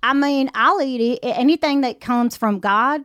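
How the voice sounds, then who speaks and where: A woman's voice: high-pitched, moderate pace, a bit bright, no echo. An actress playing a blunt, brash woman from Florida with a Southern US accent and slangy talk.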